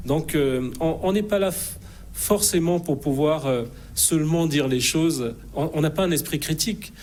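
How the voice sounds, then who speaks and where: A man's voice: a little high, a little mellow. Assertive middle-aged man in a TV studio. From Republic of the Congo. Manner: assertive, determined, halting with frequent fillers. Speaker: interview guest, think tank president. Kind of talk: TV news studio interview. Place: TV news studio.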